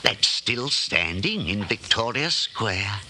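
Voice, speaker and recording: An actor playing a genial, chatty elderly widowed shopkeeper who rambles and hesitates deferentially, in 1940s radio style. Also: male voice, lowish voice, sing-song, slow pace, pushed and bright, exaggerated voice. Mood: reminiscing, eager. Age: older. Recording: old 1940s radio recording, faint noise.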